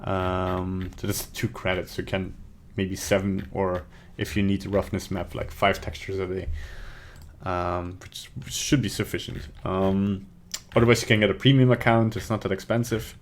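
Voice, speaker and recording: A man's voice: low-pitched, flat delivery, moderate pace. A young man from Belgium with a Belgian accent, streaming a casual 3D tutorial at his home desk, thinking aloud and rambling as he works. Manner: rambling, thinks aloud, mutters to himself while working. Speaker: livestreaming 3D artist. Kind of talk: casual live tutorial with chat. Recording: faint keyboard typing and clicks.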